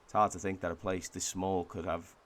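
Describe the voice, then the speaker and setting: A man playing a wistful Welshman, delivering a pensive, measured monologue in a radio drama. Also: sits low, flat delivery, lightly acted. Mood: informative, proud. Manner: pensive, measured, with thoughtful pauses. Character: wistful Welshman reflecting on his home village and heritage.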